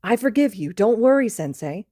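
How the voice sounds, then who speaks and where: A woman's voice: mid-range voice, very animated, normal speed, dark tone, dry recording, studio quality, voice pushed up. A woman voicing a giddy, intoxicated teenage boy student who giggles and rambles in a storybook reading. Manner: giggly, rambling, sing-song. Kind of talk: fan fiction read aloud.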